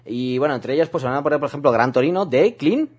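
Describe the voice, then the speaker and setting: A man's voice: a little high, animated, fast, a little mellow, slightly rough. A man co-hosting a radio film show, chatting and joking with a teasing manner. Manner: jokey, laughs often, teasing. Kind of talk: casual joking radio chat.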